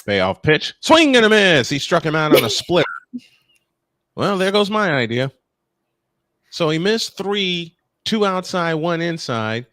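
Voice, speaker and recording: An older man doing live baseball play-by-play with folksy, playful banter between pitches. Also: a bit bright, dry recording.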